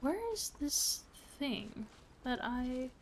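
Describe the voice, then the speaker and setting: A woman's voice: sits high, expressive, extremely slow, slight background noise. A woman doing casual, playful live game commentary, musing aloud to viewers as she plays. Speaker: game streamer.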